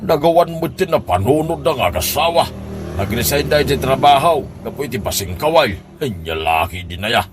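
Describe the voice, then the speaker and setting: A man's voice: mid-range voice, lively intonation, brisk, background noise, close to an everyday voice. A man playing a nagging, concerned older uncle in a comedy radio drama. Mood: exasperated. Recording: music under the voice. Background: background music.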